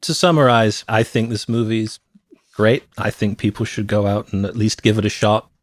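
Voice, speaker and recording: A man's voice: fairly low pitch, fairly even intonation, normal speed, no room sound. A middle-aged man co-hosting a movie podcast, chatting casually about films into a close microphone. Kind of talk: casual film review chat. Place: cluttered room at home. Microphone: close podcast microphone on a stand.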